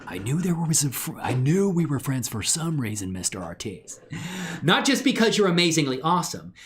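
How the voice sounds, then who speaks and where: A man's voice: a little high, big pitch swings, normal speed. A middle-aged man chatting informally and enthusiastically as he hosts a casual livestream demonstration. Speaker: livestream host and hobby animator.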